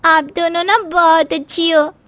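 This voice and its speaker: A woman's voice: very high-pitched, steady tone, a little slow, a bit bright, no echo, voice pushed up. A woman voicing a naive, childlike young sister-in-law in a moral story, sweet and pleading with a simple girlish delivery.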